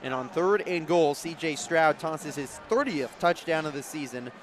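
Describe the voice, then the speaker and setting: A man doing live radio play-by-play, moving between measured setup and urgent calls. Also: a little high. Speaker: play-by-play sports announcer. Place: outdoor stadium broadcast position. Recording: background noise of an outdoor stadium.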